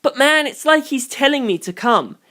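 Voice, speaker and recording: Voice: sits high, lively intonation, moderate pace, sharp tone, voice pushed up. Audiobook role: brash young man, a curious detective, informal and breezy, acted out by a narrator. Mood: eager, defiant.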